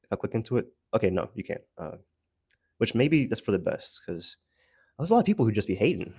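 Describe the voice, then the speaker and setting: A man giving a casual, rambling audio log as a solo creator, haltingly searching for words with self-deprecating asides. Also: lowish voice, very animated, a little mellow, breathy edge, no room sound, clean studio sound.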